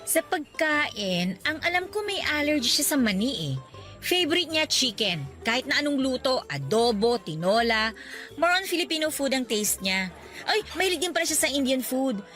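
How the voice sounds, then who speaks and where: A woman's voice: high-pitched, very animated, normal speed, fairly bright tone, soft background music. An actress playing a frank, protective young woman who is chatty and teasing with her friend in a radio drama.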